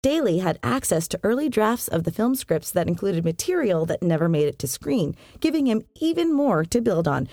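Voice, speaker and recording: A woman narrates as a podcast host. Her delivery is scripted and very animated in pitch, with wry little asides. The sound is close and dry, with very little room to it. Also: dry recording. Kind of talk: scripted narration of science fiction history.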